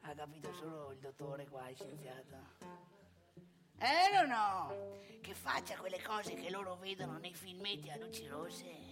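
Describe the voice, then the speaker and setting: A woman's voice: fairly low pitch, normal speed, music in the background, lightly acted. A woman playing a cantankerous, opinionated old married man in a theatrical comic monologue, with a northeastern Italian accent, scolding and haranguing in rhetorical questions. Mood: grumbling, lecturing.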